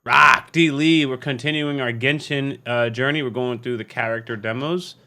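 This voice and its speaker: A man hosting a casual, unscripted reaction video, talking in an animated, slangy way and often repeating himself. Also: mid-range voice, moderate pace. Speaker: YouTube reaction host.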